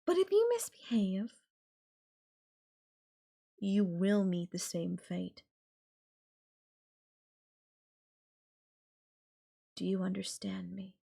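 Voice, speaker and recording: A woman's voice: medium pitch, lively intonation, vocal fry, mellow, husky, heavily acted. A woman playing an obsessive, menacing yandere girlfriend in an intimate audio roleplay, speaking to her captive lover with condescending, coaxing sweetness. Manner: sweetly condescending, coaxing, threatening, with lingering pauses.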